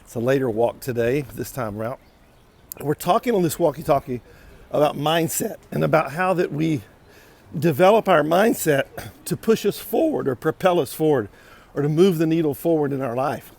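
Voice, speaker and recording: A man giving a casual motivational monologue as a vlog host while walking outdoors, deliberate and repeating phrases for emphasis. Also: on the high side, darkish tone. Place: outdoors, walking on city streets. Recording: clear outdoor street noise.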